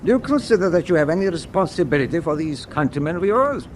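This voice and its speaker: A stern, animated German sea captain. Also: male voice, fairly high pitch, a little hiss or hum. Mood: cold, menacing. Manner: clipped, deliberate, imperious, formal. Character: stern, proud middle-aged German captain of a wartime tanker. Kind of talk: radio drama acting.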